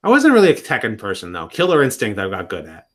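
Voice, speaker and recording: A man's voice: lowish voice, huge pitch swings, fast. A man in his thirties chatting casually on a live stream from home, rambling and often restarting his sentences. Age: 30s.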